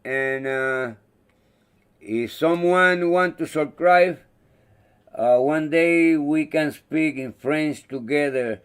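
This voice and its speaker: A man whose first language is not English, hosting a casual live chat, talking in a halting way and searching for words. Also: a little high, smooth. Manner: friendly, thanks viewers by name. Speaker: livestream host.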